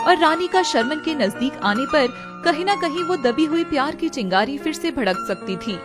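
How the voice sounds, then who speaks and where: A woman's voice: sits high, animated, normal speed, music in the background. A woman working as a story narrator, reading scripted narration in a dramatic style.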